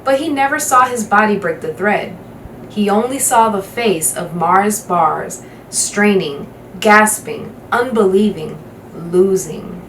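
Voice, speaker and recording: A woman reading a children's novel aloud with measured, dramatic phrasing. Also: medium pitch, a bit bright. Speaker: guest storybook reader. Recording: roomy, distant microphone, faint background noise.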